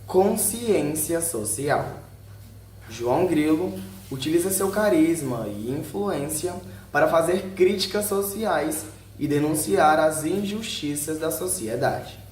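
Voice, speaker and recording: A man's voice: fairly high pitch, lively intonation, slow pace, darkish tone. A Brazilian man reading a scripted narration aloud in short headed sections, occasionally stumbling over a word. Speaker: video narrator. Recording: roomy echo with faint background noise.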